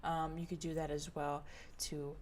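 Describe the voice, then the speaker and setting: A woman's voice: deep voice, moderate pace. A young woman from the United States hosting a travel livestream, rambling casually with lots of fillers and small laughs. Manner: rambling, many fillers and laughs, relaxed storytelling. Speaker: livestream travel vlogger host. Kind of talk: casual chatty livestream monologue.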